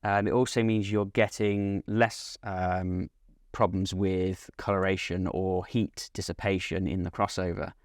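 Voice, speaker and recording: A man speaking as a company representative in an interview, giving measured, unscripted technical explanations of a product. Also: low-pitched, flat delivery, no room sound, studio quality. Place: at a hi-fi trade show.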